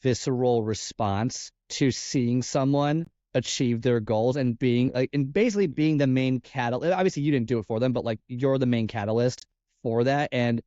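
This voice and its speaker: A man's voice: mid-range voice, normal speed, warm tone, no room sound. A man with a nasal voice, a fitness coach guest on a podcast, talking casually and rambling with lots of "like" and restarts. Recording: dull, lo-fi podcast audio.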